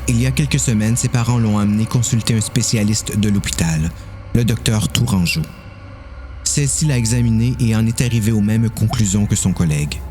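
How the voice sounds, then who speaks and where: A man's voice: fairly low pitch, darkish tone. A man with a Quebec French accent narrating a scripted, dramatised story.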